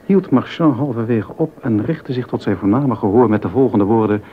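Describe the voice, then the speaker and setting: An actor playing a baroque musician's worldly, sympathetic and gossipy confidant in a period docudrama, measured and dryly amused. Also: male voice, mid-range voice, slightly rough, natural voice. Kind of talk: Dutch docudrama dialogue. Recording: dull sound with background noise.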